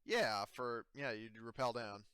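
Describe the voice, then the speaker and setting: A man improvising tabletop game narration as game master in a casual, halting, self-correcting way. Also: mid-range voice, sharp tone, smooth, no echo, studio quality.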